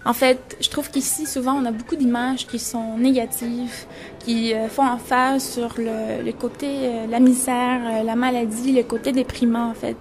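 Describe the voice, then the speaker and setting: A woman's voice: on the high side, level tone, moderate pace, a little mellow. A woman in her twenties from Venezuela, a photographer and journalism student, speaking reflectively in an interview and searching for her words. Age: 20s.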